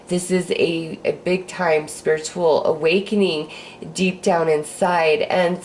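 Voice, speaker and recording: A woman with a hoarse voice from a cold, giving a casual, upbeat astrology forecast while pulling tarot cards. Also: on the low side, a little mellow, slight background noise. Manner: breezy and upbeat, with sighs and fillers. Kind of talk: casual spiritual forecast with card pulls.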